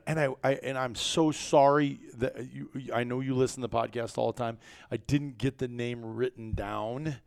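American man with a creaky voice. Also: medium pitch, no room sound, studio quality. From rural Iowa, United States. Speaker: podcast host and company founder. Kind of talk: casual podcast chat.

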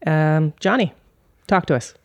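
A woman's voice: low voice, a little slow, dry recording, clean studio sound. A woman hosting a podcast, with a polished, articulate delivery. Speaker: podcast host. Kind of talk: scripted podcast intro and moderating.

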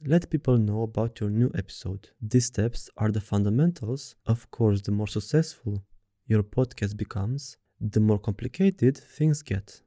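A man with a Romanian accent hosts a podcast, reading his own script aloud with careful, measured delivery. His voice is very warm and mellow, set fairly low. The recording is dry and close to the mic. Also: no echo. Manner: reads a prepared script in an even, deliberate way. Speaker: podcast host.

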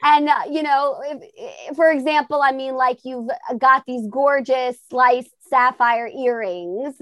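A woman's voice: high voice, steady tone, unhurried, a bit bright, no room sound. A woman hosting a podcast interview, enthusiastic and effusive, peppering her questions with "like" and "I mean". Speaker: podcast host.

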